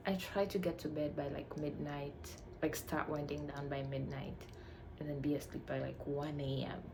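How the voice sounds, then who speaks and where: A woman's voice: sits low, flat delivery, normal speed. A young woman from Tanzania chatting casually to camera about herself, playful and chatty, dipping into whispery murmurs. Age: young adult. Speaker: vlogger. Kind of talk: casual get-to-know-me chat. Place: shared home room. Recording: noisy home recording with roommates behind. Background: household noise.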